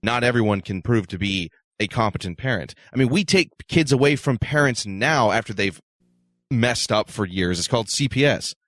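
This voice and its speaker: A man's voice: mid-range voice, lively intonation, moderate pace, fairly bright tone, dry recording. A man co-hosting a talk show, arguing his opinions assertively in a casual debate style. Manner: assertive, argumentative.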